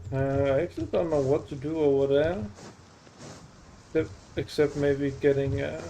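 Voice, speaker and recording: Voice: mid-range voice, steady tone, moderate pace, dark tone, rough recording. A gamer giving casual, informal gameplay commentary with exclamations and some swearing. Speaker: gaming streamer. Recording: noticeable background noise.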